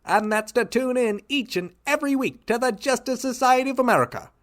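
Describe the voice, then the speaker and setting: A man's voice: very high-pitched, expressive, brisk, fairly bright tone. A man narrating and announcing in a theatrical 1940s radio style. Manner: showy, upbeat, theatrical.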